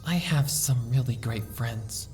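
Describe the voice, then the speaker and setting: A woman playing a timid sick pony, soft and stammering, slow, distant mic. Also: very deep voice, faint music behind. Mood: timid, shaky. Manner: soft, hesitant, stammering, pleading. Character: timid sick young female pony, frightened. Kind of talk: fan audiobook reading.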